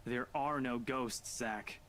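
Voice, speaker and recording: A skeptical young man who scoffs at talk of ghosts in a game. The voice sits in a middling pitch and stays calm, level and matter-of-fact. A faint low rumble hangs under the game audio. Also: slow pace.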